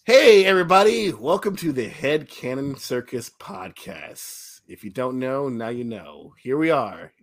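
Animated, joking male podcast host. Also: mid-range voice, a little slow, hardly any pauses. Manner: joking, self-deprecating, playful. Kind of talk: casual comedic podcast hosting.

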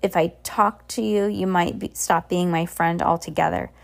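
A woman's voice: fairly low pitch, steady tone, moderate pace, vocal fry. A woman voicing a frank, good-natured young schoolboy in a storybook, plain and earnest. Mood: earnest, hurt.

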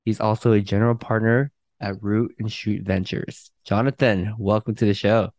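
A man's voice: lowish voice, flat delivery, moderate pace, darkish tone, dry recording. A man hosting an interview podcast, friendly and upbeat, with many "like" fillers. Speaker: podcast host.

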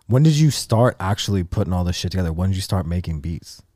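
A man's voice: low-pitched, expressive, fast talker, warm tone, no room sound, clean studio sound. A man in his late twenties hosting a podcast, asking casual, curious questions with some profanity. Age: 20s. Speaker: podcast host.

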